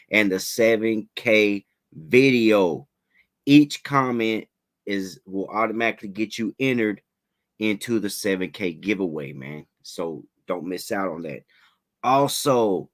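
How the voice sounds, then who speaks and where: A man hosting a casual livestream interview, talking in relaxed slang, a little raspy from a head cold. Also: low-pitched. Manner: laid-back slangy hype, fillers like "you know what I'm saying".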